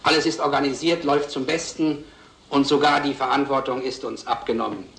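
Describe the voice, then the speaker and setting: An actor playing a wry, melancholy gentleman making a formal, reflective speech in a radio drama. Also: mid-range voice, steady tone, a little fast, reverberant, close to an everyday voice, rough recording. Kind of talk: radio drama acting, after-dinner speech.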